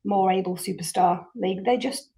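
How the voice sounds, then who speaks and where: A woman hosting a chatty podcast at a mid-range pitch. She sets up the conversation earnestly, circling back and repeating words as her thoughts build. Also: moderate pace, vocal fry, background noise. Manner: earnest, rambling intro with many repeats. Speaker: podcast host. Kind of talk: casual interview chat.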